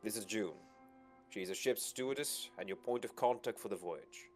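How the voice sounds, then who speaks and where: A man's voice: lowish voice, normal speed, soft background music, voice pushed up, close to an everyday voice. A man voicing a confident, formal expedition financier who addresses people with authority in a visual novel reading. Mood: formal, assured.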